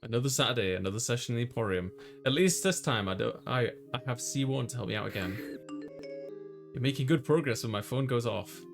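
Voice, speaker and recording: A man's voice: mid-range voice, lively intonation, fast talker, vocal fry, over music. A man voicing a flustered young male college student who narrates his own story in a visual novel. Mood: flustered, sheepish.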